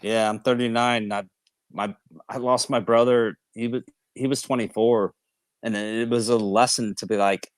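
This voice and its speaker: A man's voice: mid-range voice, fairly even intonation, moderate pace, dry recording. A man in his late thirties arguing casually on a group call, calling others bro and man. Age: 30s. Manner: casual, calls people bro and man, defensive. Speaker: call participant. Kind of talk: heated debate on a group call.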